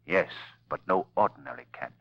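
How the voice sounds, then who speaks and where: A man playing an invisible, mysterious crime fighter with a commanding, solemn, deliberate delivery in 1940s radio drama style. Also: low voice, lively intonation, creaky, husky, no room sound, full character voice. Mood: urgent, commanding. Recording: muffled old-time radio recording.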